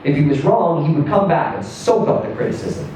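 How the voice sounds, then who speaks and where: A man reading a literary short story aloud in a theatrical, emphatic manner, with deliberate pauses and swells. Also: on the high side, darkish tone. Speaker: story reader. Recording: distant echoey room with faint noise.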